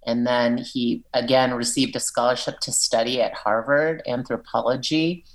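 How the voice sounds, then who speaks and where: Very low-voiced woman author. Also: steady tone, moderate pace, rough recording. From Peruvian family, living in Arizona. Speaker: children's book author. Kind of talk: interview and book reading.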